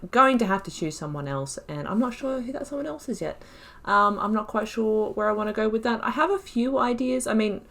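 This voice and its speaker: A woman's voice: medium pitch, fairly bright tone. A woman hosting a gaming video, thinking aloud in casual, informal, wry commentary as she talks through the story.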